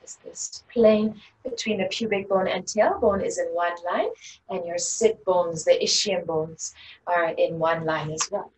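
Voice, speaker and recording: A woman teaching a guided meditation in a gentle, unhurried, inviting way. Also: lowish voice. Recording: distant mic with faint background noise.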